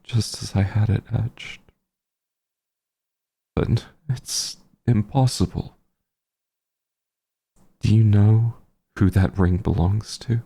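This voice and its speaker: A man playing a bitter, grieving werewolf hunter in an audio roleplay, gravelly and husky, speaking with halting, menacing intensity. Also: fairly low pitch, fairly even intonation, mellow, full character voice. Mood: stunned. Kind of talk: dramatic audio roleplay monologue.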